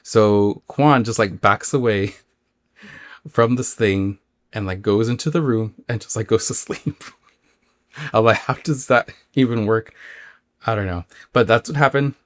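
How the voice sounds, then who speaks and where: Low-voiced man casually telling a story. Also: no room sound, clean studio sound. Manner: informal, halting, filler-heavy with acted-out quotes. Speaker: podcast host.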